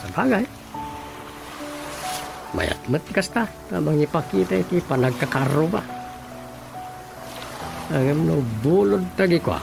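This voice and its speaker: A man's voice: a little high, very animated, unhurried, gravelly, pauses often, full character voice. A Filipino man with an Ilocano accent playing a musing, drawling village man in a radio drama comedy. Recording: music over the voice.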